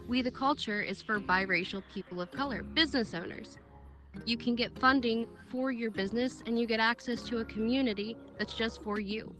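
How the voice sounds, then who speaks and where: A woman giving a direct, earnest talk as a speaker at a virtual event. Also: a little high. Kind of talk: prepared speech at a virtual event.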